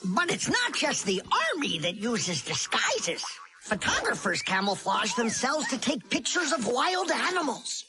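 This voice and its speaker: Wildly expressive cartoon explainer. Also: female voice, on the high side, measured pace. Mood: upbeat explaining. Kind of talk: scripted educational cartoon narration.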